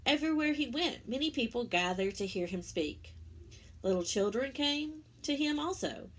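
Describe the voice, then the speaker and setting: Husky-voiced woman reading a children's story aloud. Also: sits high, expressive, moderate pace. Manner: simple explanatory asides addressed to young listeners. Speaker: children's story-time reader and teacher. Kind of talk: read-aloud of a children's religious picture book with asides.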